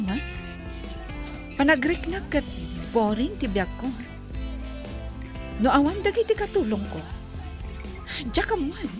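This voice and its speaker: A woman plays a gracious young heiress in a radio comedy. She speaks high and slow, with a voice that rises and falls a lot. Music plays steadily behind her. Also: exaggerated voice. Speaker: wealthy heiress. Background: background music.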